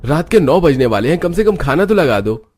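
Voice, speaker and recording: Man acting out cartoon husband, expressive, pitched up from a low voice. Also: fairly quick, soft background music. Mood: scolding. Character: men of an Indian family, eager or scolding.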